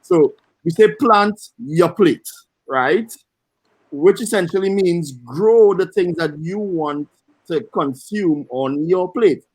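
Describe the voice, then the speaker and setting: A Trinidadian man talks with eager, conversational energy as a webinar panelist. His voice sits a little higher than most men's, and the sound is dry and close, with no room around it. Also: slow pace, husky, dry recording. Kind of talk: webinar discussion. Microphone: video call.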